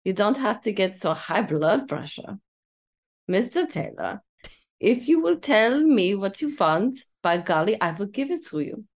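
A talkative old-fashioned shopkeeper in a radio play, voiced by a woman. The pitch swings widely as this good-natured butcher rambles on in folksy broken English. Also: very animated, unhurried. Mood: placating, bemused. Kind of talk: old-time radio drama table read.

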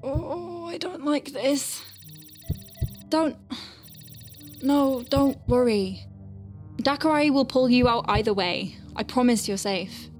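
An anxious woman medic in a science-fiction audio drama. Her voice sits on the high side and she takes her time, wry yet hesitant under pressure. Music runs underneath. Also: slow pace, long pauses. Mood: scared, wry. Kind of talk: sci-fi audio drama acting.

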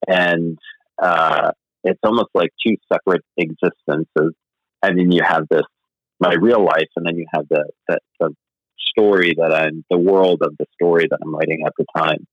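A man with a very low voice talks about his writing as a guest in a casual interview. He rambles and hesitates and is self-deprecating. The sound is dry and a little muffled. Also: fairly even intonation, moderate pace, no room sound. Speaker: novelist guest.

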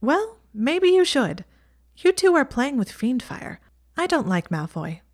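Woman putting on a higher, teasing young witch. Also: very animated, measured pace, heavily acted. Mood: blunt. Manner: lofty, blunt, teasing.

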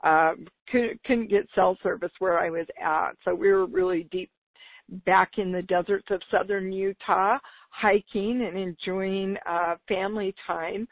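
A woman with a slightly raspy voice, talking informally and enthusiastically as the solo host of a business podcast. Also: mid-range voice, dry recording. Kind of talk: upbeat solo podcast talk. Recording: dull lo-fi radio-like sound.

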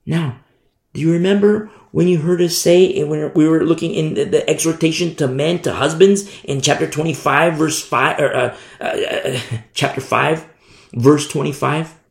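A man preaching a sermon in an emphatic, repetitive style, swinging from hushed to loud. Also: fairly high pitch, steady tone, moderate pace, vocal fry. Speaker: pastor. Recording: roomy echo.

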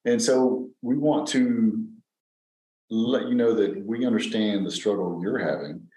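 A man in his fifties with a fairly low voice talks loosely in a casual podcast chat, rambling and stopping on plenty of fillers. The room around him has a noticeable echo. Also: unhurried. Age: 50s. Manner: relaxed, rambling, with many fillers. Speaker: podcast co-host. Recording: echoey room.

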